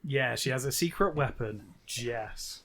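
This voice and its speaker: A man's voice: medium pitch, lively intonation, measured pace, a bit bright. A man co-hosting a podcast, talking casually and jokily as he discusses a story. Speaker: podcast co-host.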